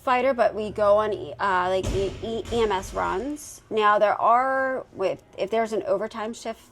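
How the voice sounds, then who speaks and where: A woman in her forties from Pennsylvania, a firefighter guest chatting casually in a podcast interview, relaxed and quick to laugh, with plenty of ums and uhs. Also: medium pitch, a little hiss or hum. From Pennsylvania, United States. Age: 40s. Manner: relaxed, laughs easily, many um and uh fillers.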